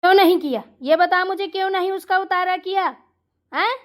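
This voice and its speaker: A woman's voice: very high-pitched, fairly even intonation, brisk. A woman voicing a bossy mother-in-law who dotes on her daughter and scolds her daughter-in-law in a family comedy cartoon. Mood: scolding, indignant. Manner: scolding, indignant, rhetorical questions.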